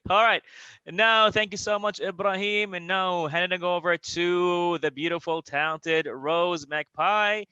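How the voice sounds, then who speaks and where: A man hosting an online contest with energetic, cheering delivery. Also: high-pitched, measured pace, smooth, no room sound, highs cut off. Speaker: host. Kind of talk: hyped live-stream hosting.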